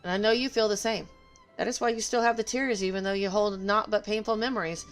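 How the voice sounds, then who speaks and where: A woman's voice: medium pitch, fairly even intonation, fast, soft background music. A woman game streamer talking casually on a livestream and reading game dialogue aloud. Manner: opinionated, emphatic.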